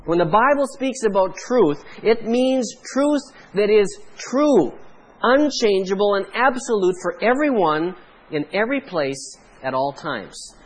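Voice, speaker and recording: A Canadian man, a pastor, preaching a sermon in a measured way, pausing for emphasis. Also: high voice, animated, low-quality recording.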